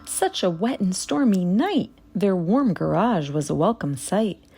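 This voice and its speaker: A woman narrating a rhyming children's story in an expressive read-aloud style. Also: medium pitch, a little slow, creaky, faint music behind.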